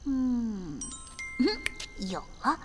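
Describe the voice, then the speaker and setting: A woman's voice: fairly high pitch, very animated, extremely slow, music in the background, heavily acted. A woman voicing a timid but indignant little schoolboy in an audio drama, muttering hesitantly to himself. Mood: hesitant, scheming. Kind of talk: Chinese audio drama acting. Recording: reverberant, noisy with clicks and crickets.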